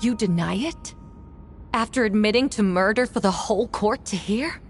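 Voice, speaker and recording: A woman's voice: medium pitch, moderate pace, soft background music, close to an everyday voice. A woman voicing an imperious female knight in a video game, accusing theatrically and growing frantic. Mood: righteous accusing. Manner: theatrical, forceful courtroom accusing. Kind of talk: dramatic video game voice acting.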